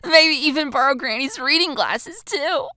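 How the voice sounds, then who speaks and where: A woman's voice: high voice, expressive, moderate pace, sharp tone, no echo, studio quality, voice pushed up. A woman voicing an amused, teasing teenage schoolgirl in a dramatized audio story, gasping and breaking into giggles as she talks. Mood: giggly teasing.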